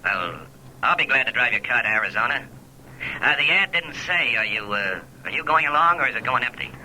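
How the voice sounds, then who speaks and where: A piercingly sharp telephone voice with faint hiss, a wary killer who poses as a casual caller. He is evasive and guarded, in the manner of a 1940s radio crime drama. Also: mid-range voice, lively intonation, brisk. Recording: sharp, hissy old radio phone line.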